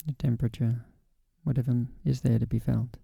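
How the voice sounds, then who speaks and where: A man guiding a body scan meditation in a calm, gentle, unhurried way with long pauses. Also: mid-range voice, gravelly, husky, no echo. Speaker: meditation guide.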